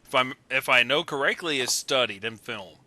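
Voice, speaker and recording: A man's voice: medium pitch, moderate pace, pushed and bright, no room sound. A man on a voice call, casually giving his opinions on films as a guest commentator. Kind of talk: casual opinionated film discussion. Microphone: voice call on a computer.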